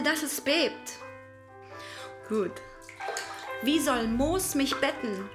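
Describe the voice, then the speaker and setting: Female poetry performer, deliberate and unhurried, theatrical, high voice, music underneath.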